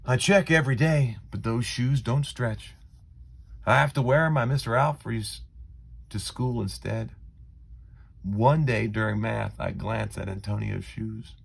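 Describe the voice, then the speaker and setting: A man reading a children's story aloud in an expressive, dramatic way with deliberate phrasing. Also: mid-range voice, creaky, breathy edge. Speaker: storybook reader.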